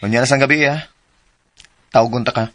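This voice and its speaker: An actor playing a smooth-talking, offhand young lover in a radio drama, with a Cebuano accent. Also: male voice, medium pitch, fairly even intonation, brisk, lightly acted. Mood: sleepy, casual.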